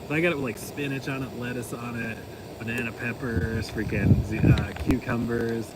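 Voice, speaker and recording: A man's voice: mid-range voice, steady tone, normal speed. A man vlogging from a long-distance hiking trip, chatting casually with lots of ums and likes. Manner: rambling, many ums and likes. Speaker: vlogger, trail hiker. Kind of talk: casual vlog chat. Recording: distant mic, loud outdoor noise.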